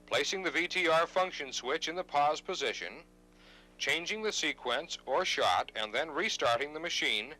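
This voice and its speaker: A man's voice: fairly high pitch, bright, forceful tone, low-quality recording. A man reading scripted instructional narration in a formal, precise manner, as on a 1970s training videotape.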